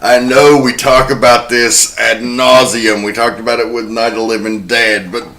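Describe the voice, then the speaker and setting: A man's voice: medium pitch, little pitch movement, normal speed, pushed and bright, a little hiss or hum. A man hosting a horror film podcast, speaking in a wry, sardonic way. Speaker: podcast host. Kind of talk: horror film recap and casual discussion.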